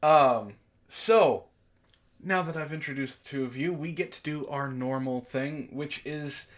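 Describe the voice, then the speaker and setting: A man's voice: a little high, unhurried. A man hosting a book club livestream in casual chat, jokey and playfully theatrical when he announces things.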